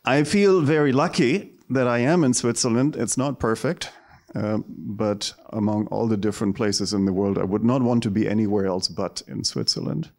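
Middle-aged man from Germany, outspoken, firm, lowish voice, handheld mic. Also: normal speed, darkish tone. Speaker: event speaker. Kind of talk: personal statement to an audience.

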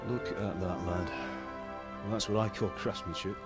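An actor playing a stern, principled middle-aged father who works as a village blacksmith in a video game, speaking in a measured, firm way. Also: on the low side, fairly even intonation, over loud music, close to an everyday voice. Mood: businesslike. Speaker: village swordsmith. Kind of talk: cinematic game dialogue.

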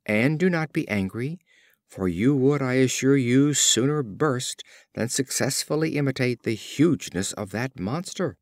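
A man's voice: mid-range voice, lively intonation, measured pace, no room sound, studio quality. A man voicing an earnest young animal son in a storybook fable, speaking with polite, emphatic pleading.

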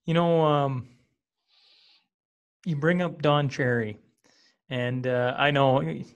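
A man's voice: medium pitch, steady tone, slow. A man in his thirties from small-town Western Canada, hosting a podcast at a studio microphone, eager and casual as he asks long winding questions. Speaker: podcast host. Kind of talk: casual interview. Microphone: studio microphone with earbuds.

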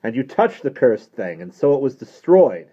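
A man's voice: on the low side, expressive, moderate pace, pitched above the performer's own voice. A man voicing an emphatic, learned priest who leads an adventure in a storybook, with a commanding, exclamatory delivery. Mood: solemn, earnest. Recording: dull, muffled lo-fi recording.

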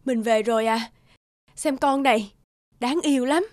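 A woman's voice: fairly high pitch, measured pace, no echo, clean studio sound, lightly acted. A woman voicing a village chief's devoted, strong-willed young wife and mother in a cartoon, earnest and firm. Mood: tender. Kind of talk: cartoon dub acting. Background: background music.

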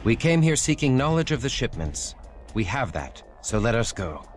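A man's voice: medium pitch, sing-song, moderate pace, close to an everyday voice. A man playing a solemn, honourable medieval crusader vampire who speaks formally and archaically in a video game. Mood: stern. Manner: grave, archaic, formal speech. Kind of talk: video game cutscene acting. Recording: music behind the voice.

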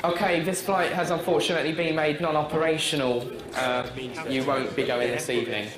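A man's voice: on the high side, steady tone, brisk. A man working for an airline, addressing passengers in a firm, formal, defensive way. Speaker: airline staff member. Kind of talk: announcements and arguing with passengers. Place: busy airport terminal. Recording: echoey airport hall, crowd chatter.

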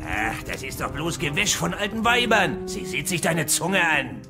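An actor playing a gruff, blustering, comic Viking man in an animated audio drama. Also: fairly high pitch, moderate pace, over music.

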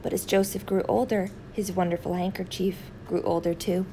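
Woman reading a kids picture book, soft dark tone, some noise behind her. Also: fairly low pitch, steady tone, normal speed. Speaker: children's storytime reader. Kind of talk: read-aloud picture book narration.

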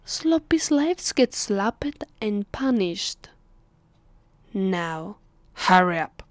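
A woman plays an ancient female vampire in an intimate ASMR roleplay, with an Italian accent. She speaks very slowly and softly, slipping into whispers, close to a dry microphone. Her manner is haughty, teasing and condescending, that of a mistress used to giving orders. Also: medium pitch, very animated, dry recording. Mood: commanding, threatening. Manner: condescending, commanding, unhurried. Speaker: voice actress.

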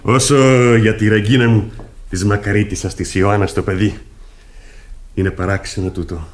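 Radio play: male actor as sly older working man, bass register, fawning and falsely pious. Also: fairly even intonation, lightly acted. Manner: ingratiating, halting, chuckling. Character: wheedling working-class older man, slyly pious and humble. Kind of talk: radio theatre acting.

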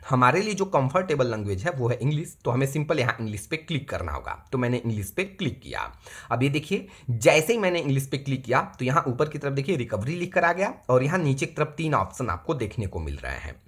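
A man's voice: mid-range voice, fairly bright tone, slightly rough. A man hosting a step-by-step phone repair tutorial, talking to viewers as friends and repeating each step. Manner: repetitive, addresses viewers as friends. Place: indoors at a table, hands-only camera view.

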